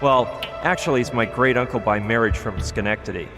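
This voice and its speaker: A man's voice: medium pitch, a little fast, in a large hall, over music, close to an everyday voice. An actor voicing a sarcastic, joking young male adventure hero in a game. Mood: wry. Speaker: game protagonist.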